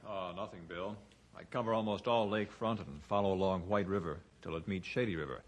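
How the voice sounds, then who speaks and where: An actor playing a grave tracker with terse, formal, stilted phrasing in an old-time radio drama. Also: male voice, deep voice, a little fast. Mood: matter-of-fact.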